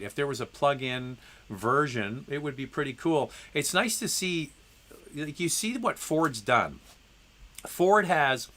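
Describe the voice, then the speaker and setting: A man's voice: mid-range voice, sharp tone. A man hosting a live Q&A and answering viewer questions, congested from a head cold, talking casually with lots of ums and restarts. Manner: conversational, with frequent ums and restarts; reads viewer messages aloud. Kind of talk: live stream Q&A.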